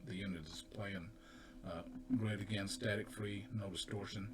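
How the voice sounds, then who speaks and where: An older man casually demonstrating his audio gear, explaining in a halting, unhurried way with pauses. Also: on the low side. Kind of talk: casual hands-on equipment demonstration. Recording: noisy home recording with equipment tones. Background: steady test tones at times.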